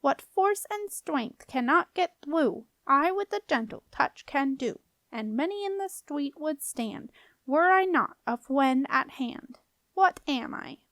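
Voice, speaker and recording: A squeaky, grumpy gatekeeper of riddles, as voiced by a female narrator. He chants in a high, sing-song sneer, taunting whoever stands at his door. The sound is close and dry, like a storybook read aloud. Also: very animated, slow, dry recording, heavily acted. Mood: chanting. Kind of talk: storybook character voice.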